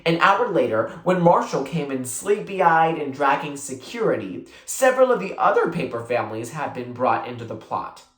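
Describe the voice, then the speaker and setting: A narrator reading a children's book aloud in an expressive storytelling style. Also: medium pitch, moderate pace, hardly any pauses. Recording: roomy, echoing sound.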